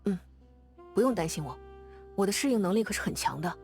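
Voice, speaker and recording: A woman's voice: medium pitch, expressive, fast, darkish tone, faint music behind, lightly acted. Woman as earnest young male fantasy sentry. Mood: calm, reassuring. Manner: earnest, reflective, conversational. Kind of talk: video game character dialogue.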